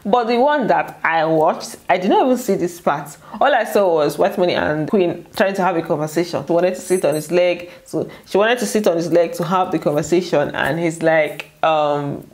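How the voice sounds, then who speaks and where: A woman's voice: fairly low pitch, normal speed, hardly any pauses, darkish tone. A Nigerian woman hosting a casual gossip recap, chatty and colloquial, with playful asides. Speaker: YouTube host recapping a reality show.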